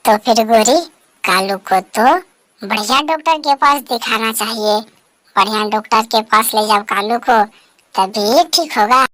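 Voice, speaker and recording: Woman voicing a high-pitched, concerned cartoon bird. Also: a little slow, sharp tone, no room sound. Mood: advising. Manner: urging, repetitive. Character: busybody female bird friend, concerned and advising. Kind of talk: Hindi animated bird story dialogue.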